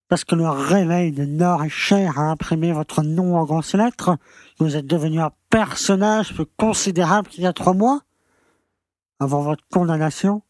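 A man's voice: on the high side, a little mellow, no room sound, clean studio sound, lightly acted. A man playing a pompous, condescending and evasive small-town mayor in an audio drama. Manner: pompous, patronizing.

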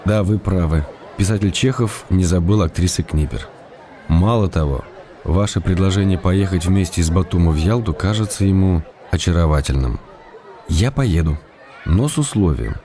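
An actor playing a playful, courteous male writer who reads his affectionate letters in a measured, teasing way for a radio play. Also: deep voice, warm tone, a little hiss or hum, natural voice. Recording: radio drama with clear music behind.

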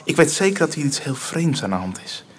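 A man's voice: a little high, big pitch swings, slightly rough. Earnest, obsessed young man. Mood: awed, intense. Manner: intense, halting, resolute. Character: earnest, obsessed, determined teenage son. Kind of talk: radio drama dialogue. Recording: music under the voice.

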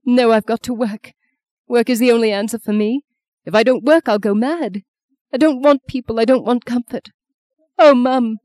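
A woman's voice: fairly high pitch, normal speed, darkish tone, no room sound, studio quality, pitched above the performer's own voice, natural voice. A woman voicing a grief-stricken grown daughter in an audiobook, tearful, pleading and full of self-blame. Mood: desperate, sobbing. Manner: pleading, emotional outbursts. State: anguished, tearful, sobbing. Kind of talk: dramatic audiobook character voice.

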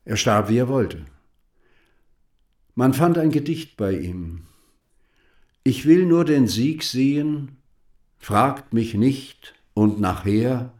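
Sardonic French statesman-writer, animated delivery. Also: mid-range voice. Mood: solemn, elegiac. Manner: rhetorical, ironic. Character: bitter, patriotic French writer and deputy, eloquent, sardonic. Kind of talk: radio play acting.

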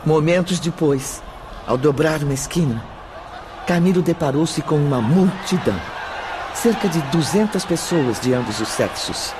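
A man's voice: a little high, expressive, moderate pace, background noise, close to an everyday voice. An actor playing a solemn male storyteller, giving dramatic scripted narration in a radio drama. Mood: ominous.

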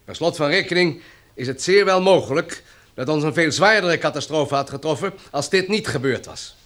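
A grave male chairman in a radio play, speaking to survivors of a disaster. His voice sits fairly high, and he speaks in composed, measured, formal phrases. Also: animated, a bit bright. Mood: solemn, formal. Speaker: actor in a radio drama. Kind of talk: radio drama acting, formal speech.

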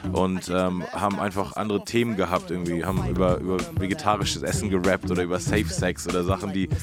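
Low, even-voiced man over music. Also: quick pace. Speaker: radio host. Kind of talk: casual music-history talk. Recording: music playing behind the voice.

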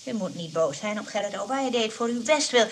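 A woman's voice: mid-range voice, expressive, fast, natural voice. A woman playing a nervous, well-meaning, bustling nurse who fusses and coaxes in a radio play. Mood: coaxing. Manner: fussy, coaxing. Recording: noisy old radio play recording.

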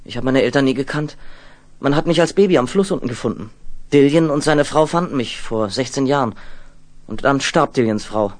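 A man acting a frightened, earnest teenage orphan boy in a 1970s German radio play, husky, urgent and dramatic. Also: mid-range voice, lively intonation, a little fast, natural voice. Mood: subdued. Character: frightened sixteen-year-old orphan boy, shape-shifting mutant, earnest.

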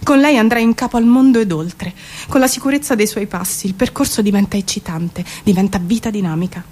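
A woman giving an expressive, measured theatrical spoken-word reading with dramatic pauses. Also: medium pitch. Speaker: performer reading her own poetic prose. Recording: music behind the voice. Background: music.

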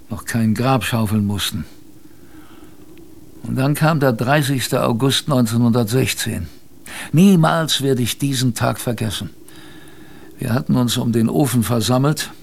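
Gravelly old grandfather, slowly reminiscing. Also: mid-range voice, a little mellow. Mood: wry, reminiscing. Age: older. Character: old grandfather, polar expedition survivor, tender, proud, emotional. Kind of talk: radio drama storytelling to a grandchild.

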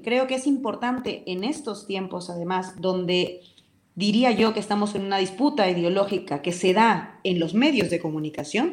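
A woman's voice: medium pitch, a little mellow. A Peruvian woman talks as a radio guest with fierce conviction, pressing each point home. Her voice sits in the middle of the range. The recording carries a roomy echo around her. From Peru. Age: adult with a decade living abroad. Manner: vehement, passionate, speaks her opinions firmly. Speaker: interview guest, talk show host and commentator. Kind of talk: radio interview.

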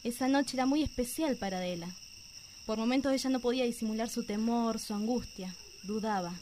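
A woman with an Argentine accent narrating a radio drama in a measured, suspenseful storytelling manner. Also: fairly high pitch, warm tone, a little hiss or hum. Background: music.

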